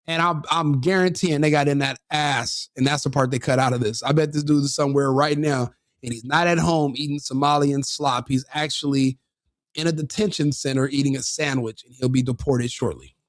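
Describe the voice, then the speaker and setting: A man's voice: medium pitch, fairly even intonation, a little fast, no room sound, clean studio sound. A man giving crude, mocking commentary on a video, sneering and laughing often.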